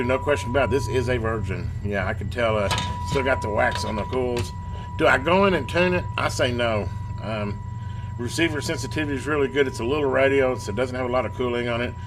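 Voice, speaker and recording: A man giving a casual hands-on repair demonstration, informal and folksy, thinking aloud as he works. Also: medium pitch. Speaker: repair hobbyist presenting a video. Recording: clearly noisy amateur workbench video.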